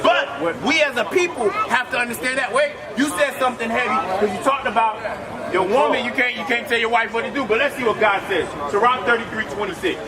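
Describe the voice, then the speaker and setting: Street preacher guy yelling out on the block, real high voice, crowd all around him. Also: a little fast. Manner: loud, emphatic, often shouting, barking commands to a reader. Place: outdoors on a city street. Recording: distant mic, noisy street crowd.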